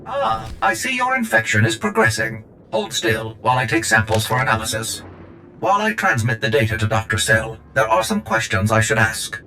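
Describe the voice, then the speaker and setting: An actor voicing a polite, analytical medical droid who speaks in a formal, dry, precise way. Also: male voice, lowish voice, moderate pace, sharp tone, a little hiss or hum, low-quality recording. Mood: clinical.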